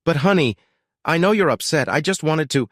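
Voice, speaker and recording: A man's voice: fairly high pitch, expressive, normal speed, no room sound, clean studio sound, voice pushed up. A man voicing a sweet, coaxing middle-aged wife in an audio story. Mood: pleading. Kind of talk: audio story character acting.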